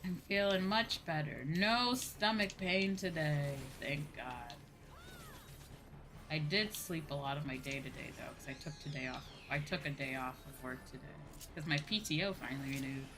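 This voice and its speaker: A woman's voice: sits low, normal speed, over music. A woman streaming a game and chatting casually, with a sweary, playfully whiny and emphatic manner. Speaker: streamer, VTuber host.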